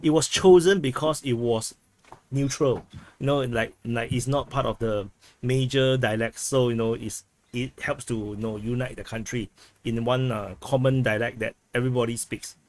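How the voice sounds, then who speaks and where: A man in casual group chat on a low-quality backup recording, talking haltingly with many restarts while he explains things through comparisons. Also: fairly even intonation, darkish tone. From Southeast Asia. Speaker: chat participant. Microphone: video call.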